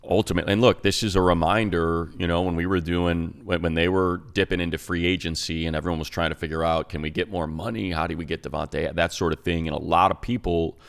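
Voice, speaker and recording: A man's voice: low-pitched, steady tone, fast, creaky, hardly any pauses. An American man co-hosting a sports talk show, a radio announcer breaking down team news conversationally, thinking aloud with restarts. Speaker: radio play-by-play announcer and co-host.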